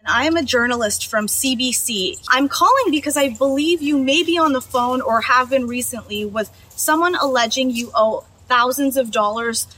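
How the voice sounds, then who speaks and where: A woman's voice: sits high, normal speed, hardly any pauses, bright, forceful tone. A woman, a journalist, making a polite, explanatory phone call to give a warning.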